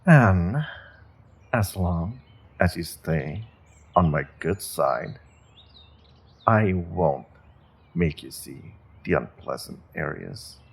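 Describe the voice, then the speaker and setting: Male actor as menacing sorcerer warlord, low husky voice, unhurried, mock-polite, gloating, fantasy audio drama. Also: animated, exaggerated voice. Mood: smug menace. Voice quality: gravelly, husky. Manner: slow, deliberate, mock-polite, savoring threats with drawn-out pauses. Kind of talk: fantasy audio roleplay, speaking directly to a captive listener.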